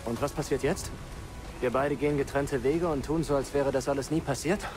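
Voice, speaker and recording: An actor playing a conflicted, sceptical young imperial soldier in a German video game, questioning and intense. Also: male voice, medium pitch, steady tone, fairly quick, music in the background, natural voice.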